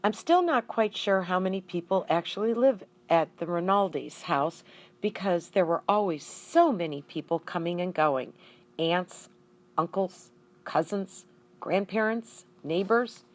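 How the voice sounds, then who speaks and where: A woman reading a children's storybook aloud in an expressive way, acting out the dialogue. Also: fairly low pitch, a little slow. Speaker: audiobook reader.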